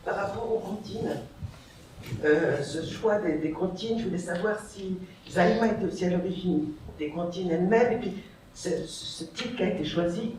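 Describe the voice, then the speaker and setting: Audience questioner, courteous and halting; distant, very echoey, noisy recording. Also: medium pitch, a little mellow. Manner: polite and halting. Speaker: audience member asking a question. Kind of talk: question from the floor. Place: large echoey library hall. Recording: echoey hall from far off, noisy.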